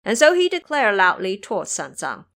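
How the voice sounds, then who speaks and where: A woman's voice: mid-range voice, big pitch swings, normal speed, gravelly, fairly bright tone. Woman narrating an audio drama. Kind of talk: scripted audio drama storytelling.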